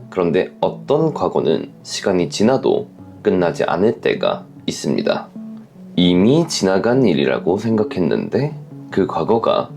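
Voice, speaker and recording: Low-pitched man narrating, creaky and expressive. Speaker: host reading and commenting on viewer letters. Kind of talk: scripted narration, reflective advice commentary.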